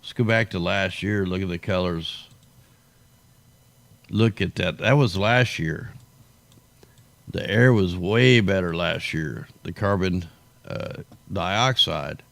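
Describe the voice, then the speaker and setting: A man with a low, creaky voice hosts a casual livestream. He sounds tired, with his voice worn out. His commentary is off the cuff, rambling and full of slang. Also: takes it slowly, long pauses, fairly bright tone, no room sound. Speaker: livestream host.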